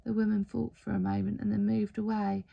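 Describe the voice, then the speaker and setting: A woman reading a picture book aloud in an expressive storytelling style. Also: mid-range voice, brisk, creaky, dull audio. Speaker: story reader.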